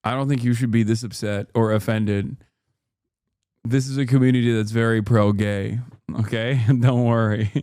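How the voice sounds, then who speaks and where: A man streaming live and reacting to a debate clip, joking and laughing often, dropping to low mutters and jumping to loud high outbursts. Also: fairly even intonation, normal speed, smooth, no echo, studio quality. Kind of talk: casual reaction commentary.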